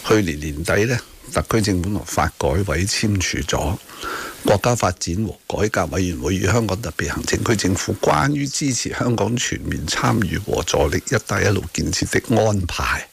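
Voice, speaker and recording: A man's voice: sits low, lively intonation, normal speed, gravelly, slight background noise. A man with a Hong Kong Cantonese accent hosting a radio talk show, giving sardonic political commentary in a didactic, corrective way. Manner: didactic, corrective, sardonic, often ending on tag questions. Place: radio studio.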